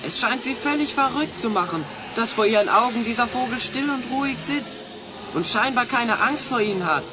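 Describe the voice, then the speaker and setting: A man's voice: very high voice, expressive, normal speed, background noise. A man playing a bold, resourceful adventurer hero in a German children's audio drama, eagerly explaining his plans. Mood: urgent, excited.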